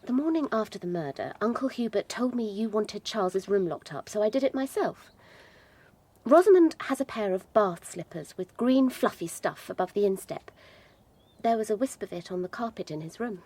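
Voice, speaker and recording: Young British gentlewoman, radio drama, female actor; poised, discreet, observant, mid-pitched with a husky tone. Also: expressive, normal speed, no room sound, lightly acted. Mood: composed. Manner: composed, precise.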